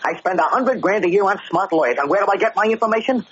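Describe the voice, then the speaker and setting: A man's voice: high-pitched, expressive, fast, fairly bright tone. A man playing a short-tempered, paranoid American gangster boss in 1940s radio drama style, curt and sarcastic, barking orders. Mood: sarcastic, angry. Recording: muffled, noisy old radio broadcast.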